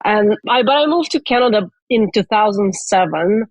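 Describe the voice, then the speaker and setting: A woman's voice: mid-range voice, animated, moderate pace, no echo, rough recording. A woman from Russia, a venture investor answering interview questions in an earnest, thoughtful way. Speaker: venture capital investor, guest.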